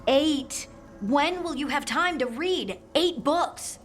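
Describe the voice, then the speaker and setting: A woman's voice: high voice, takes it slowly, soft background music. An actress playing a practical, outspoken young outdoorswoman in a video game, plain-spoken and friendly with teasing exasperation. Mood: exasperated, teasing. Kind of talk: video game cutscene acting.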